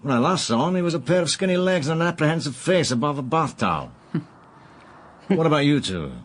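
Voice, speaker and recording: A man's voice: on the high side, sing-song, a little fast. An actor playing an affable, wry male investigator in a conversational radio drama. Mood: amused, wry.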